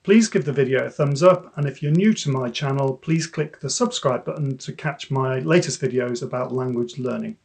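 A British man in his fifties, an English teacher, reciting a poem with careful, deliberate enunciation. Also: mid-range voice, vocal fry, darkish tone, slightly rough.

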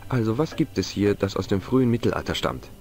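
An actor playing a polite, curious young American investigator in a video game, with dry, earnest delivery. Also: male voice, lowish voice, lively intonation, fast talker, a little mellow, faint music behind, lightly acted. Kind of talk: video game dialogue and wry narration.

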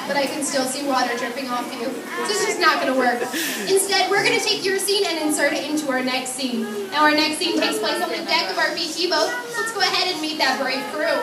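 A woman hosts a live outdoor theme park show as its director, upbeat and energetic, steering the crowd and cast. Her voice sits very high. It comes through park speakers from a distance, echoing, with a loud crowd and noise around it. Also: fairly quick. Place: outdoor theme park stage by a water tank.

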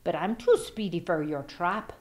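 A woman's voice: lowish voice, moderate pace, pitched below the performer's own voice, lightly acted. A woman voicing a cocky, mischievous little old leprechaun who taunts in singsong storybook rhymes. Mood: taunting.

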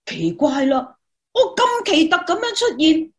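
Eager young female reporter, played by a woman, high and very animated, Hong Kong Cantonese accent, exclamatory radio-play delivery. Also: unhurried, heavily acted. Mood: thrilled, amazed. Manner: exclamatory, expressive. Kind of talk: Cantonese radio drama dialogue.

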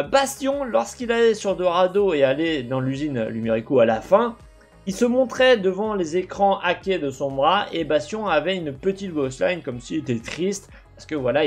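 Young male gaming host, headset mic, faint game music underneath, animated delivery. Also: a little high, normal speed. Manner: animated, talks with his hands, many fillers and restarts. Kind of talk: commentary over gameplay. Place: home room with gaming chair.

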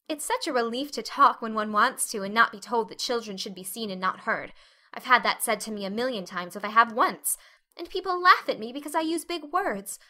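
A woman's voice: a little high, brisk, sharp tone. A woman voicing a talkative, dreamy, dramatic orphan girl with an earnest, effusive manner in an audiobook. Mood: eager, happy.

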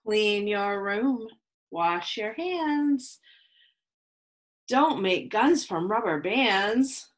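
Slow, sing-song woman reading to kids. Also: mid-range voice, animated. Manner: slow, sing-song rhyming delivery for children. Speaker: children's read-aloud host. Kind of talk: reading a picture book aloud. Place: home room with plants, indoors.

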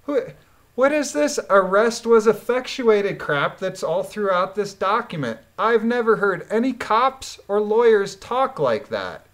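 A woman's voice: medium pitch, expressive, unhurried. A woman reading documents aloud and commenting on them in a blunt, emphatic, indignant manner. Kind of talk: reading a court transcript aloud with critical commentary.

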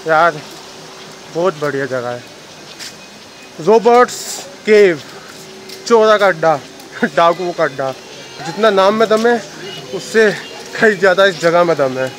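A man's voice: sits high, animated, low-quality recording. A young man in his twenties filming a casual travel vlog on a phone at arm's length in a wet cave and forest trail, chatting to viewers and sounding worn out from the climb. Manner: chatty, addresses viewers as guys and bhai. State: tired, out of breath from climbing. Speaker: travel vlogger. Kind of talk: casual selfie vlog narration. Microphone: handheld phone camera at arm's length. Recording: loud rushing water noise behind. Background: waterfall and stream.

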